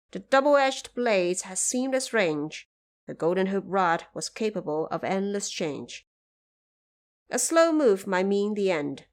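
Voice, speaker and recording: Slow-paced woman narrating an epic tale. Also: mid-range voice. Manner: theatrical, declamatory. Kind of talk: scripted dramatic storytelling narration.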